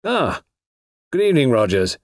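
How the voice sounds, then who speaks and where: Man playing a breezy gentleman writer with swooping pitch. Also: big pitch swings, brisk, breathy edge, no echo, studio quality. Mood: airily casual. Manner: urbane, casual, chatty. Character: nonchalant gentleman writer, breezy, wheedling, self-assured. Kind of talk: audiobook character voice.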